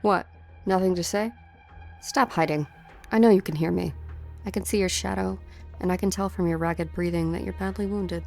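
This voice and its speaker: Lady doing a menacing hunter villain, lowish cool voice, taunting, music quietly behind. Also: steady tone, normal speed, creaky. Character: cold, taunting female enemy assassin hunting her prey. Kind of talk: dramatic game story dub.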